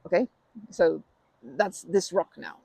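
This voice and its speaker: A woman acts out a timid, caring young woman offering comfort in an audio roleplay. Her voice is full of gravelly fry and slightly husky, recorded dry with hardly any room sound. She speaks haltingly, tripping over her words and apologizing as she consoles. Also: on the low side, darkish tone, no echo, exaggerated voice. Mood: eager, encouraging. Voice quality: creaky. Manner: hesitant, stammering, apologetic, halting starts. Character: timid, kind young woman, gently comforting a park acquaintance. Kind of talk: ASMR roleplay, intimate comforting talk.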